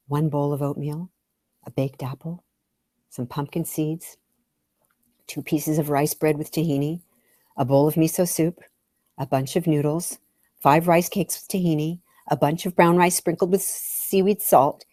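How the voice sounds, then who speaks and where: Expressive woman storyteller, low voice, dry sound. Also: no echo. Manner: theatrical, expressive, with whispered phrases. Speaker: author reading own memoir story. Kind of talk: dramatic first-person story reading.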